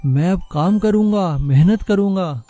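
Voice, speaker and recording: A man voicing a lazy, carefree young village son in an animated moral story. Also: sits high, a little slow, over music. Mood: pleading.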